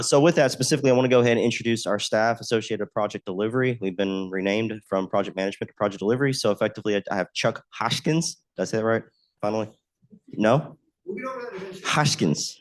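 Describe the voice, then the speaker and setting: A man giving a presentation at a podium, a bit hoarse from a cold, rambling with filler words like effectively. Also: medium pitch. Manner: rambling, leans on "effectively" and "specifically". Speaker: state aviation manager presenting. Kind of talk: conference presentation. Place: meeting room at a podium. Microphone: podium mic.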